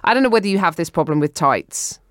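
A woman comedian guesting on a podcast, spinning bawdy riffs that tumble out with stammers and restarts. Her voice sits a touch low for a woman. The recording is close and completely dry. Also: expressive, fast, no room sound. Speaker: guest co-host. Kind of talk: improvised comedy banter. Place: studio in New York.

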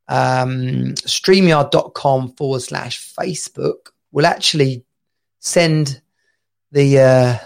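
A man's voice: a little high, vocal fry. A man co-hosting a live-streamed chat show, rambling and chatty, laughing often. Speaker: podcast co-host.

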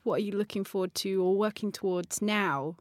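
A woman's voice: medium pitch, fairly quick, no room sound, studio quality. A woman hosting an interview, encouraging the guest and asking follow-up questions. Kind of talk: casual interview chat.

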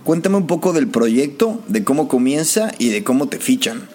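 A man's voice: mid-range voice, very animated, moderate pace, a little mellow, slight background noise. A man hosting a podcast, introducing and interviewing in an informal, enthusiastic way. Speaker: podcast host. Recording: music behind the voice.

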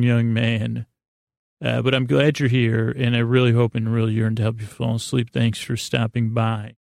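A man hosting a bedtime podcast, talking in a meandering, halting, silly way with frequent repeats and a creaky voice. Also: medium pitch, a little mellow, no room sound, clean studio sound. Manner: silly, playful banter with an imaginary guest. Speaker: podcast host. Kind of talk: rambling bedtime podcast monologue.

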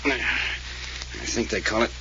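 An actor playing a wisecracking male amateur detective in a 1940s radio drama, questioning in a brisk, wry, probing manner. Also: mid-range voice, pushed and bright. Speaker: detective figure. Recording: dull old radio recording with faint hiss.

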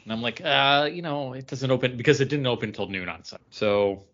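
A man's voice: mid-range voice, brisk, fairly bright tone, highs cut off. A man chatting casually as a podcast co-host, telling stories in a rambling, meandering way. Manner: rambling storyteller. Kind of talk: casual chat.

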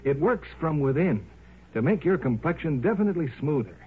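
A male announcer in vintage radio style, selling with polished emphasis. His fairly high voice is very lively in pitch and somewhat husky. The recording is dull and old. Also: brisk. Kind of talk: 1950s scripted radio advertising. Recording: muffled 1950s radio recording.

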